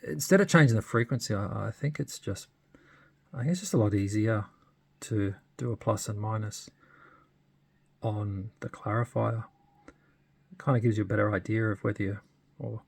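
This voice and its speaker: Man, husky low voice, casual hobbyist demo, hesitant, thinking aloud, understated. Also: darkish tone. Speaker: radio hobbyist presenting a modification demo. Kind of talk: casual unscripted hands-on demonstration.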